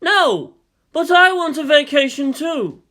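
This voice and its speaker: Man playing high-voiced, exasperated teenage boy. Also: sing-song, takes it slowly, pushed and bright, exaggerated voice. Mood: indignant. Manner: groaning, complaining, frustrated. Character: exasperated teenage boy, reluctant mastermind, weary and sarcastic. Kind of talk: dramatized audiobook dialogue.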